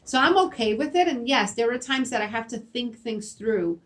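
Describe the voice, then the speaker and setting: A woman's voice: on the high side, lively intonation, moderate pace, a bit bright. A middle-aged woman whose first language is Spanish, a school principal answering interview questions earnestly, often adding "right?" and repeating words as she thinks. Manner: earnest, repeats herself, frequent "right?" tags.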